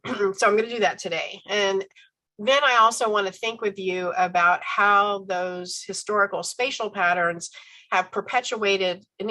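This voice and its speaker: Woman lecturer, stuffy head cold, bright tone, chatty with ums, video call. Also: medium pitch, rough recording. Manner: conversational, with frequent ums and self-corrections. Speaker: university professor giving a talk. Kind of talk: online academic lecture.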